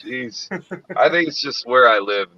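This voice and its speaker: A man's voice: medium pitch, unhurried, pushed and bright, no room sound. A man chatting casually and rambling, with plenty of swearing, over an online call. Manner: profane, rambling. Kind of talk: casual chat. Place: indoors, online video call. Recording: slightly dull lo-fi audio.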